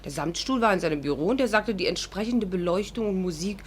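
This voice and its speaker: A woman's voice: mid-range voice, quick pace, slight background noise, natural voice. An actress playing a witty, stubborn young woman in a 1950s German radio play, with ironic, theatrical banter. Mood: indignant, sardonic. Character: witty, stubborn young English actress, hat lover, narrating. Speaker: actress narrating and playing the heroine. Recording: 1950s radio recording, music behind.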